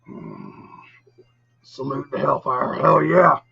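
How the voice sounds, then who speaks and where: A man with a gravelly, raspy voice hosting a live storytelling show, chatting casually with viewers in a drawn-out, theatrical, teasing way. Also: medium pitch, wildly swooping pitch, pushed and bright. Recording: dull lo-fi audio, music behind. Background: music.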